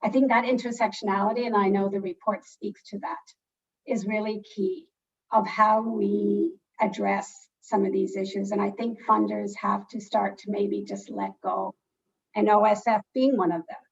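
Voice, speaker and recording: Gravelly Canadian woman on a muffled call. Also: mid-range voice, little pitch movement, moderate pace, distant mic. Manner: earnest, restarts her phrases. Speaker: philanthropic funder, panelist. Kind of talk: panel discussion.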